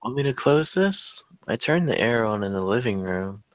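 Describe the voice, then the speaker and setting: A woman's voice: a bass voice, very animated, normal speed, sharp tone, no echo, exaggerated voice. A woman reading the part of a caring, direct aunt in her forties at a scripted table read. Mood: caring, probing. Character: caring, direct forty-four-year-old aunt, an EMT.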